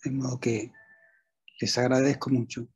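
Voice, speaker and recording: A man's voice: mid-range voice, level tone, moderate pace, slightly rough. A man taking part in a seminar on a video call, graciously thanking the speakers. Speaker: seminar participant.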